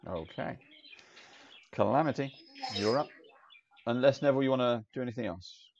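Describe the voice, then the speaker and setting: Male game master, casual rambling narration, creaky tone, dull low-fi recording. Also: moderate pace. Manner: relaxed, meandering narration with fillers. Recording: dull lo-fi audio, faint hiss.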